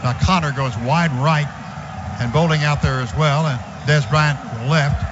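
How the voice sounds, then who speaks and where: A man calling a football game for radio from a stadium booth. His voice sits fairly high and climbs louder with every big gain. The broadcast sounds muffled and lo-fi, with steady noise behind him. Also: measured pace, crowd noise. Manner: rises in pitch and volume on big plays, calm between. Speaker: radio play-by-play announcer. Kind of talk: live radio play-by-play.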